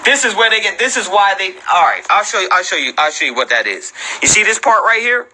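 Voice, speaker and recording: A male host gives blunt, unscripted commentary in a high-pitched voice. His tone is very bright and hard-edged. He swears freely, repeats himself to drive points home and challenges listeners with rhetorical questions. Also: big pitch swings, quick pace, a little hiss or hum, low-quality recording. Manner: blunt, profane, repeats phrases for emphasis. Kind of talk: unscripted commentary on read-out articles and clips.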